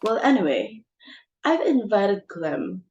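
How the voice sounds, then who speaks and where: A woman's voice: medium pitch, big pitch swings, slow, darkish tone, lo-fi sound. A woman playing a bossy, social-climbing society mother with theatrical exclamations in an amateur stage comedy reading. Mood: brisk, bossy.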